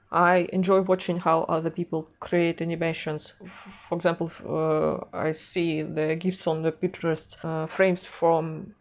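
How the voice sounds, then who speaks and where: A young woman with a Russian accent chatting to camera from a room at home, in a slightly low voice. She talks hesitantly, full of fillers, restarts and self-mocking laughs, picked up on a lapel mic. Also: a little mellow. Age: young adult. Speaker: artist and animation vlogger. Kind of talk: casual talk to camera. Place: home room with a gaming chair, indoors.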